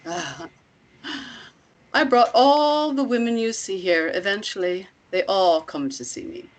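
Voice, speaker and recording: A woman playing an enigmatic, imperious cult leader in a screenplay read, speaking with slow, commanding deliberation. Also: mid-range voice, lightly acted. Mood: knowing, imperious. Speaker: actor in a screenplay table read.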